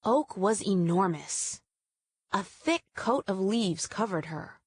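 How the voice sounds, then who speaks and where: A woman narrates a children's story as a read-aloud. Her pitch is medium and her phrasing is deliberate and measured. The sound is very dry, with no room echo. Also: expressive, slightly rough.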